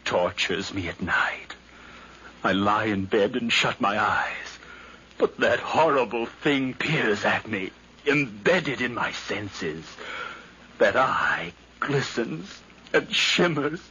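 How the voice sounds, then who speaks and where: Through heavy hiss and a muffled old radio sound comes a nervous, obsessed nephew. His low voice moves slowly and broodingly, often sinking into whispers as he unravels in 1950s radio drama fashion. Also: animated, heavily acted. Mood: obsessed, haunted. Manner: halting, brooding, often breaking into hushed whispers. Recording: noisy, muffled 1951 radio recording.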